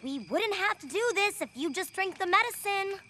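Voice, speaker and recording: A woman's voice: very high voice, expressive, normal speed, a little hiss or hum, full character voice. A woman voicing a fussy, well-meaning cartoon friend who explains and coaxes. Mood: exasperated. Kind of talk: animated cartoon voice acting. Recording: loud music behind the voice.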